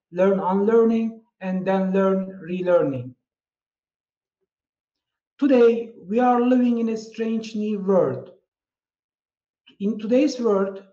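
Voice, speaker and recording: A Turkish-accented man gives a measured, prepared keynote with a fairly high, mellow voice. The sound is dull and muffled, as if recorded with a low-grade mic in an echoey room. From Turkey. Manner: measured, reads from slides. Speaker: keynote speaker.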